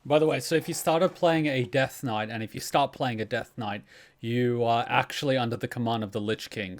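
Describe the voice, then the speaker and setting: A man hosting a game reaction video, explaining step by step in casual, conversational commentary. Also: medium pitch.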